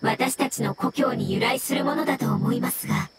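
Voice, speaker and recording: An actress voicing a calm jellyfish-like water creature in an anime game, with a formal, courteous manner. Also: female voice, fairly high pitch, moderate pace, close to an everyday voice. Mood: calm, apologetic. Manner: formal, courteous, even-tempered. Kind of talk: Japanese anime game voice acting. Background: music.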